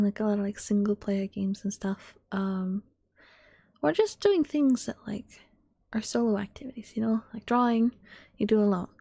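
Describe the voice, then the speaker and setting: A woman of twenty-one chatting to her stream in a soft ASMR whisper, rambling and giggly. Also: fairly high pitch, vocal fry, no room sound, clean studio sound.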